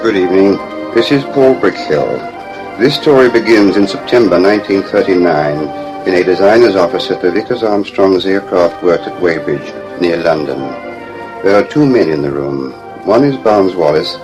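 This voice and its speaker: A man reading scripted narration for a vintage radio program in a formal, measured manner. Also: deep voice, lively intonation, rough recording. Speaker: author and narrator. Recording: vintage radio with music behind.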